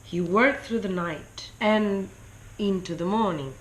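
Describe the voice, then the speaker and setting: A woman reads a children's story aloud at a slow, deliberate pace. Her delivery is expressive, at a medium pitch. Some background noise sits behind her voice. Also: rough recording. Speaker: story reader.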